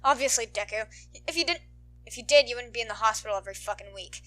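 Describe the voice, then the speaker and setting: A woman voicing a proud, abrasive teenage boy who swears and growls in an animated story. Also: a little high, fast talker, soft background music, close to an everyday voice. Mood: scolding. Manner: growling, snarling, gruff.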